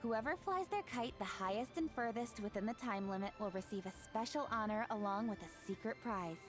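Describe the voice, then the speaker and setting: A woman voicing a composed young official who explains traditions in a measured, earnest way. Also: on the high side, close to an everyday voice. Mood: calm. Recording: game audio with soft music.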